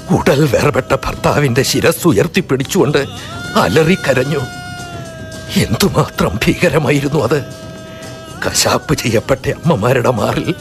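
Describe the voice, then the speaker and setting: An older man narrating and acting a radio drama in a measured storytelling way. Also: medium pitch. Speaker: narrator and stationmaster figure.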